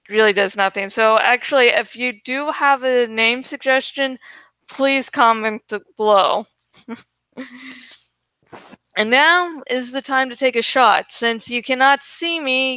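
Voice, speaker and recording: A woman's voice: on the high side, pushed and bright, clear, resonant tone, dry recording. A woman hosting her own channel, chatting casually and informally, with some swearing. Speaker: host of a book-reading channel. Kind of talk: casual chatty video outro.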